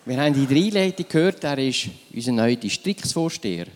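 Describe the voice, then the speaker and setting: A man's voice: mid-range voice, animated, brisk, big-room sound. A man introducing and interviewing a guest at a church service, asking friendly, direct questions.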